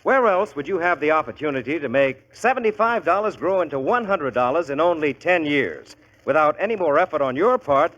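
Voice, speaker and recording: A man's voice: fairly high pitch, a bit bright. An American man announcing on 1940s radio, reading scripted copy in an emphatic, upbeat way, on an old muffled broadcast.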